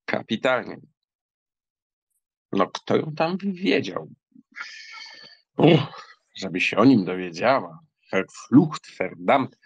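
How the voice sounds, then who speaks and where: A man acting an arrogant, impetuous German count in an audiobook drama, with a bold, theatrical manner and a husky, gravelly voice. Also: medium pitch, animated, takes it slowly, pauses often, dry recording, heavily acted, rough recording. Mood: brooding, infatuated.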